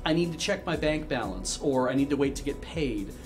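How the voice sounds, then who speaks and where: A man's voice: medium pitch, expressive, a little fast. A man hosting a livestream, giving casual advice and often searching for his words. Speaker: charity livestream host reading viewer messages. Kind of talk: casual, unscripted advice chat. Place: indoor livestream studio. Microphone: handheld-style microphone close at desk. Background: music in the background.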